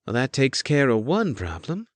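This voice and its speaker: A man's voice: mid-range voice, wildly swooping pitch, moderate pace, no echo, voice pushed up. A man voicing Elise, a sly young woman with a teasing, mock-gleeful manner.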